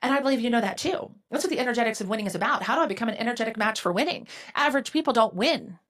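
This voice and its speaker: A woman's voice: a little high, expressive, rapid-fire, a bit bright. A woman in her forties hosting a solo motivational podcast, candid and emphatic, often asking "right?" and swearing casually. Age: 40s. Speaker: podcast host and business mentor.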